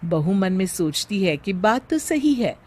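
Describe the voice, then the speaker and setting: A woman's voice: mid-range voice, very animated, measured pace, darkish tone. A woman storyteller reading a scripted family tale as narrator.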